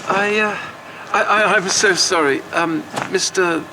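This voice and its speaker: A woman's voice: fairly low pitch, a little slow, background noise. A woman playing a protective, anxious Victorian wife in a horror audio drama, with formal, old-fashioned phrasing. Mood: nervous.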